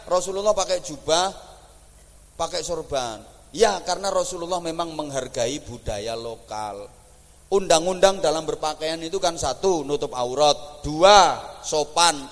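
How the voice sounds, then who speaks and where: Man, east javanese accent, joking preacher, high voice, long hall echo. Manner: joking, animated, teasing the audience. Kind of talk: humorous religious sermon in Javanese and Indonesian. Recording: echoey hall.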